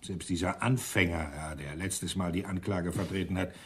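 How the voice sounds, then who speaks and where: Grumbling low-voiced police inspector. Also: male voice, steady tone, normal speed, husky. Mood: dry, sardonic. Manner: grumbling, blustering, self-deprecating. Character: grumpy, gloomy police inspector tormented by toothache. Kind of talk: German radio crime drama acting.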